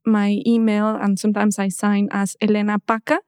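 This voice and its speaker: A woman in her thirties from Mexico, a guest in a casual interview, who talks hesitantly with many restarts and soft laughs. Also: medium pitch, flat delivery, normal speed, smooth, dry recording, clean studio sound.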